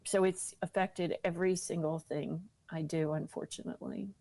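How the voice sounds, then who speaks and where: A woman's voice: low-pitched, fairly even intonation, normal speed, creaky, dark tone. A woman speaking earnestly and seriously as an interview guest, giving personal testimony.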